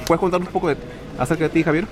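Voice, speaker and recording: A man's voice: on the high side, steady tone, racing, over loud music. A man hosting an interview, asking long questions in a hesitant way with many fillers. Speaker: interviewer, host. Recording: crowd chatter behind.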